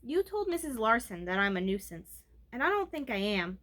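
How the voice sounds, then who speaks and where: Lady doing a little kid girl from a storybook, earnest n bold, easily flustered, high bright voice. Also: sing-song, normal speed. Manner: loud, clear declaiming when performing. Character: eight-year-old girl, earnest, bold yet flustered.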